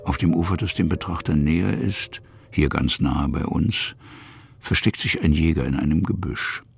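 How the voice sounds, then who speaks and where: An actor narrating a literary radio drama as a musing male storyteller, in measured, fragmentary phrases with a gravelly, husky voice. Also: a bass voice, faint music behind.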